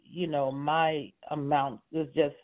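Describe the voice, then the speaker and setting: A man's voice: a little high, level tone, slow. A man in his sixties, a homeowner on a phone call, talking hesitantly with many fillers and short laughs. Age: 60s. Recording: tinny phone line.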